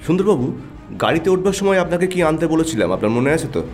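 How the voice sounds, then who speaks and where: A man playing a calm, shrewd and confident detective in an audio drama, speaking in a composed, deliberate way. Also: fairly high pitch, soft background music.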